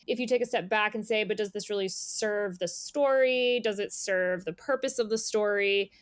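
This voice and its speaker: A woman's voice: mid-range voice, normal speed, clear, resonant tone, no room sound. A woman speaking as an interviewed editor, talking casually and thoughtfully in an informal, conversational way. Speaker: magazine editor being interviewed.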